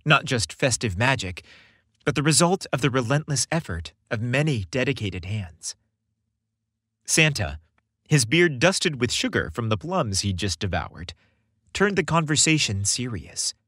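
A man's voice: mid-range voice, sing-song, measured pace, a bit bright, breathy edge, no echo, clean studio sound. A man reading a scripted story as a podcast narrator.

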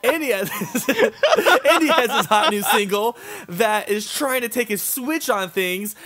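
A man's voice: very high-pitched, very animated, unhurried, sharp tone. A man co-hosting a gaming video with crude, teasing, irreverent banter in a casual style. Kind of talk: joking casual gameplay banter. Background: video game music.

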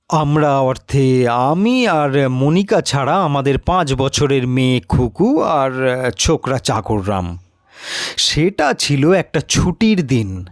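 A man giving a deliberate, dramatic first-person narration of a story. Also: mid-range voice, dry recording, clean studio sound.

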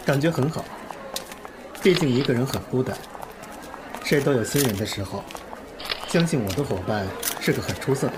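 A man's voice: medium pitch, moderate pace, pauses often, noisy background, natural voice. A man acting in an audio drama as an arrogant, two-faced pop star with a haughty, suave manner. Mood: composed, polite.

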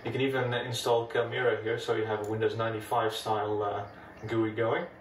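A man with a Dutch accent walks through an old machine in a relaxed, chatty way, his voice sitting a little low. He sounds set back from the mic in a roomy, echoing space, with a faint hum of room noise behind him. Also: fairly quick. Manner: relaxed, chatty, explains step by step. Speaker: retro computing video host. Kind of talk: casual hardware demo narration. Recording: echoey room, mic at a distance.

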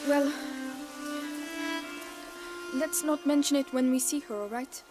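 A woman's voice: sits high, steady tone, takes it slowly, darkish tone. An actress playing a determined teenage girl leading her little brother in a game cutscene, with music behind. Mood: urgent. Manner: urgent, protective. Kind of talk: video game cutscene acting.

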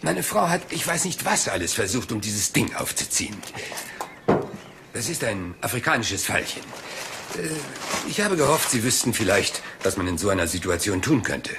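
A lively, rambling, low-voiced police inspector. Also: male voice, normal speed, very noisy. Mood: hesitant, musing. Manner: hesitant, rambling, deferential, falsely naive. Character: rumpled, polite, seemingly scatterbrained male police inspector. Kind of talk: German crime drama dialogue.

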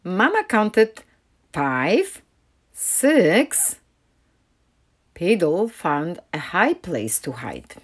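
A woman reads a picture book aloud to children. Her pace is slow and measured, and her pitch moves up and down in a lively, expressive way. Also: husky. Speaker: read-aloud narrator.

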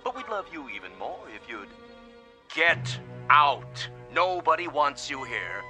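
An actor playing a smooth-talking male radio disc jockey in a radio drama. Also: a little high, sing-song, unhurried. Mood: sweetly menacing. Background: music behind the voice.

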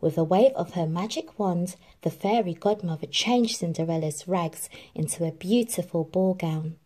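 A woman reading a children's storybook aloud in an expressive, animated narrating style. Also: on the low side, moderate pace. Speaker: storybook reader.

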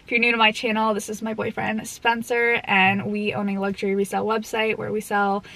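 A woman's voice: mid-range voice, completely flat delivery, a little fast, pushed and bright, poor audio. Chatty young woman vlogging from a car. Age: 20s. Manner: chatty, lots of "like" and "um". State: slightly hoarse, getting a cold. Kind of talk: casual chat to camera. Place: parked car. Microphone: camera mounted on dashboard.

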